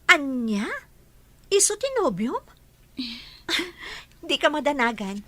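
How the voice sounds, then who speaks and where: Woman acting teasing young bride-to-be, Ilocano accent, high lively pitch, excitable, radio comedy-drama. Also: takes it slowly, no room sound, exaggerated voice. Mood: surprised.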